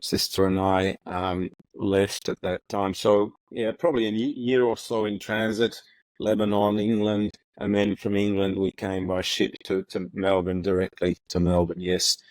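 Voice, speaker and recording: Man, deep monotone voice, lots of ums, dry recording, from Ethiopia. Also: dry recording, rough recording. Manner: halting, many fillers and repeated words. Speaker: interview guest, retired prosecutor. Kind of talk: relaxed reflective storytelling.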